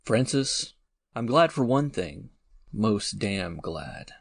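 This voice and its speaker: A man's voice: on the low side, sing-song, slow pace, creaky, breathy edge, no echo, full character voice. A man playing a plucky young adventurer in a dramatised audiobook, talking in a wry, slangy way. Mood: sober, frank.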